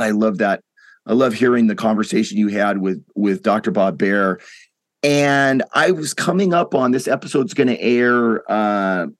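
A middle-aged man hosting a podcast, hoarse and raspy from a head cold, speaking in an earnest, inspirational way. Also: mid-range voice, no echo. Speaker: podcast host. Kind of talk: podcast monologue and interview.

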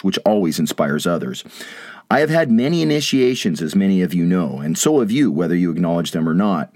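Man, raspy, hoarse from a cold, earnest, inspirational, podcast host, low voice. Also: a little mellow, no echo, studio quality. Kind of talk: podcast monologue and interview.

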